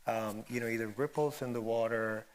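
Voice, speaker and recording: A man's voice: medium pitch, fairly even intonation, a little fast, poor audio. A man moderating a panel, asking reflective questions hesitantly as he searches for words. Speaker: discussion moderator.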